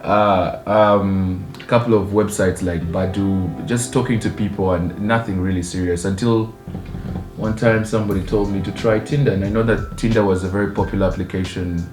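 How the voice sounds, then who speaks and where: Chatty Ugandan man, low voice. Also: moderate pace. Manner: relaxed, chatty, laughs often. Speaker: co-host of a couple's channel. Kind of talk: casual storytelling chat. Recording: echoey room with guitar music.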